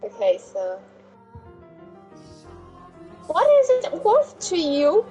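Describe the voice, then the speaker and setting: A woman's voice: high-pitched, huge pitch swings, very slow, mellow, lo-fi sound, faint music behind. A woman whose first language is Portuguese, joking playfully and bluntly while watching a game with her brother. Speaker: co-host sister. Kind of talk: casual joking chat.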